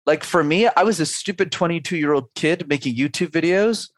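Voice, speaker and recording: A man's voice: fairly high pitch, normal speed, dry recording, clean studio sound. A man in his twenties or thirties, a speech coach, talking energetically in a podcast interview and laying out advice in numbered steps. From Canada.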